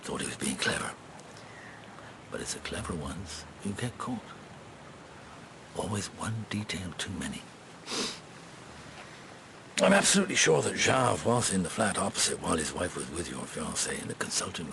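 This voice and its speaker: Weary middle-aged detective, low voice w lots of movement, thoughtful, radio play. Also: male voice, very animated, moderate pace, vocal fry, long pauses, background noise, exaggerated voice. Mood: grave, deliberate. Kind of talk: radio drama acting.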